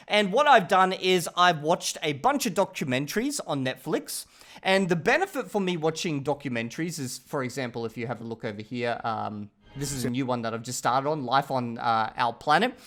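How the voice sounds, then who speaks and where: A man's voice: fairly high pitch, fairly bright tone. A man hosting a casual talking-head video, rambling and chatty, often stopping to check in with the viewer. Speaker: channel host reviewing his language learning.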